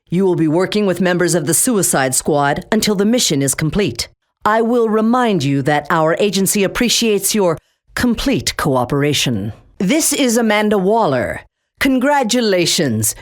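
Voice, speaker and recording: A steely, middle-aged female agency chief for a video game, issuing orders in a cold, clipped, threatening way. Her voice sits low, yet it swings widely between words. Nothing she says leaves room for argument. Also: big pitch swings, normal speed. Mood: commanding. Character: stern, commanding female government agency chief.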